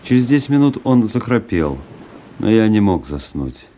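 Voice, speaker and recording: An actor playing a reflective army officer who narrates his travels in a literary radio drama, pensive and measured. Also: on the low side, big pitch swings, dark tone, slight background noise. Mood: pensive, uneasy.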